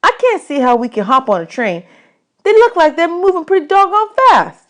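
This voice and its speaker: An excitable young orphan boy from a storybook, played by a woman reader. His pitch is high and his talk is earnest, curious and full of folksy slang. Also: moderate pace, full character voice.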